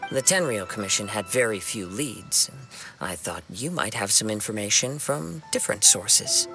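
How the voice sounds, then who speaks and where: A man voicing a humble, gentle young wandering samurai in a video game, speaking in a formal, measured and earnest way. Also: fairly low pitch, lively intonation, gravelly, exaggerated voice. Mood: concerned, calm. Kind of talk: scripted video game dialogue. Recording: game music behind the voice. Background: background music.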